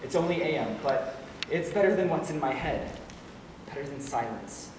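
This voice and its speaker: Male actor as gloomy teenage student, bitter, self-mocking, halting dry monologue, subdued, distant echoey hall, noisy recording. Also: a little high, expressive, natural voice. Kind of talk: stage monologue.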